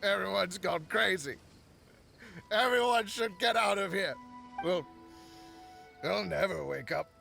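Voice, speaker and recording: Creaky, high-voiced drunken madman. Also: a little slow, pauses often, sharp tone, faint music behind, exaggerated voice. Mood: crazed. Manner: rambling, muttering, broken by giggles. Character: deranged drunken man, raving and paranoid. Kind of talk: video game voice acting.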